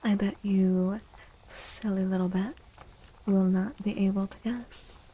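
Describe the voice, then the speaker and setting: A woman livestreaming soft-spoken ASMR chat in a playful, unhurried way, with a breathy, often whispery voice close to the mic. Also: medium pitch, steady tone, poor audio. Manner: playful, unhurried, repeats phrases. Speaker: livestream host.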